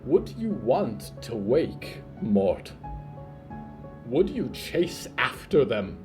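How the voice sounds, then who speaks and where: A man playing a brooding, all-seeing otherworldly narrator of a horror-fantasy audio drama, speaking in a solemn, poetic, deliberate manner. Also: mid-range voice, very animated, over music. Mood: wistful, urging. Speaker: narrator of a fiction podcast.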